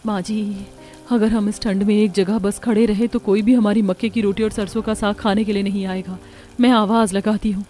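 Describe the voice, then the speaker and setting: A woman playing a gentle, worried young daughter-in-law from a poor household in a cartoon, respectful and concerned. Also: medium pitch, level tone, normal speed, faint music behind, lightly acted. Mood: determined. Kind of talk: Hindi cartoon story dialogue.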